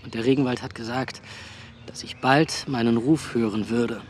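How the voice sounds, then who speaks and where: An actor playing a cynical young would-be novelist in a radio drama who tells stories in a dry, sardonic way. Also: male voice, mid-range voice, fairly even intonation, normal speed, slight background noise, lightly acted. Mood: musing.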